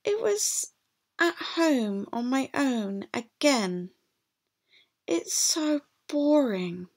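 A woman's voice: sits high, big pitch swings, drawn-out words, darkish tone, pitched above the performer's own voice, exaggerated voice. A woman voicing a bored, lonely, timid young boy in a storybook, plaintive and wide-eyed. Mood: glum. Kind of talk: storybook read-aloud.

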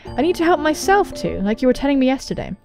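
A woman voicing a sweet, reassuring young female student as she reads visual novel dialogue, soft and earnest. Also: high voice, very animated, fast talker, vocal fry, soft background music, full character voice. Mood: gentle, reassuring.

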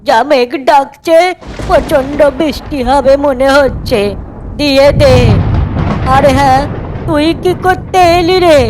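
A woman's voice: high-pitched, slow pace, background noise. A woman voicing a worried, kindly, poor village mother in a cartoon. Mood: anxious. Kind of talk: cartoon dialogue. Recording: music over the voice.

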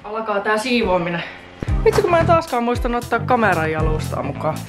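A woman's voice: on the high side, big pitch swings. A young woman in her twenties vlogging a do-it-yourself renovation, chatty and self-mocking, cursing casually as she talks to a handheld camera in a bare room. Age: 20s. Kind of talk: casual DIY renovation vlog. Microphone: handheld camera mic. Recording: echoey empty room with background music.

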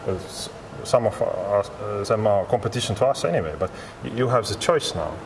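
A man in his forties giving interview answers as a company representative, in halting, repetitive non-native English. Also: on the low side, animated. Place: large glass-walled expo hall, indoors. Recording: clear ambient hum of large venue.

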